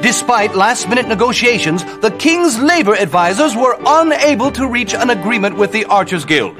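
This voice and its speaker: High-pitched pompous medieval newsman. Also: normal speed, loud music behind the voice. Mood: arch, deadpan. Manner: theatrical, deadpan newsreader delivery. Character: pompous medieval town crier as TV news anchor. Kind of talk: parody newscast in a video game.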